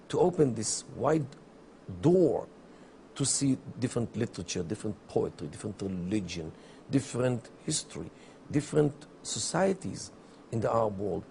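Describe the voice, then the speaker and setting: Gravelly, mellow-voiced middle-aged man. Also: mid-range voice, sing-song, slight background noise. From Israel. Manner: deliberate, with many pauses and fillers. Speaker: interview guest, academic. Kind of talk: measured explanatory interview answers.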